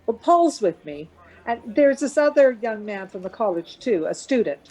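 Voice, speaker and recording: A woman's voice: fairly high pitch, very animated, moderate pace, warm tone, rough recording. A woman playing a weary, anxious but reasonable middle-aged mother in conversation in a radio play. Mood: anxious, patient.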